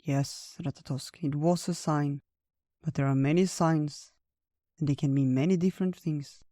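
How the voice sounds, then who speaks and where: A storyteller voicing a wise, gentle old male bear in a theatrical, drawn-out way for a children's tale. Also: medium pitch, fairly even intonation, no echo, studio quality, close to an everyday voice. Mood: solemn, reminiscing. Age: older.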